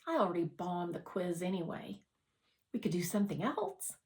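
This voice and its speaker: A woman's voice: on the low side, big pitch swings, moderate pace, darkish tone. Woman voicing a casual, friendly schoolgirl. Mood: casual, suggesting. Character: friendly, forthright schoolgirl in a novel.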